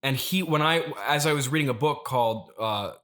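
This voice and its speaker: A man playing a rambling, earnest, halting farmer. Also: mid-range voice, a bit bright, natural voice. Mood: fervent. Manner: halting, repetitive, evasive. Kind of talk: improvised mock interview.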